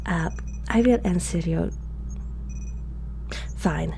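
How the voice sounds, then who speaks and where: A woman voicing a curious, eager and polite alien scientist in an ASMR roleplay, speaking softly, formally and a little hesitantly. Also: fairly low pitch, slow, creaky, faint music behind, low-quality recording. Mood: helpful, slightly puzzled. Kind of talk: ASMR roleplay addressed to the listener.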